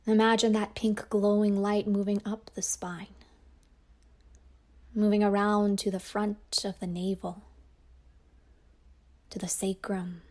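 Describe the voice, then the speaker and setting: A woman teacher guiding a yoga nidra meditation in a slow, soft voice that often drops toward a whisper. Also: medium pitch, little pitch movement. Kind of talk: guided meditation.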